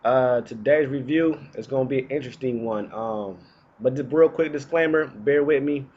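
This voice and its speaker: A man's voice: mid-range voice, normal speed, highs cut off, slight background noise. A man in his thirties recording a casual fragrance review vlog indoors while getting over a cold, chatty and slangy. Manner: laid-back, chatty, slangy. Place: indoors, small room.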